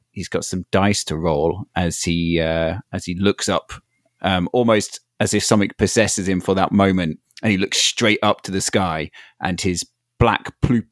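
Low-voiced man describing his character's spell. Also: no echo, clean studio sound. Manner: descriptive, hesitant with fillers. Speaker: player. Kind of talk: describing his character's actions in a tabletop game.

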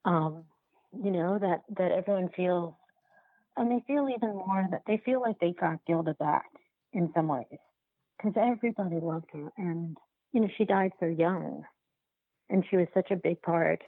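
A woman filmmaker answering interview questions in a halting, reflective way, often circling back to rephrase, over a phone-like line. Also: lowish voice, breathy edge, no room sound. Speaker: documentary filmmaker being interviewed.

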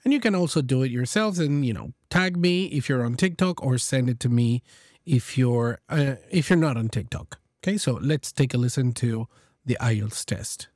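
A man's voice: mid-range voice, expressive, moderate pace, a little mellow, no echo. A man with a mid-range voice talks to his listeners as a host and teacher. He explains things casually, circling back to rephrase, in a dry recording with little room sound. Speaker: host, English exam teacher giving feedback. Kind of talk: casual explanatory podcast-style talk to listeners.

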